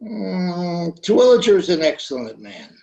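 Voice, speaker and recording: A man's voice: sits high, big pitch swings, measured pace, gravelly, mellow, echoey room, dull audio, heavily acted. A man playing a mild, fussy old shopkeeper, hesitant and evasively polite, in an old-time radio comedy, recorded over a video call. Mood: noncommittal.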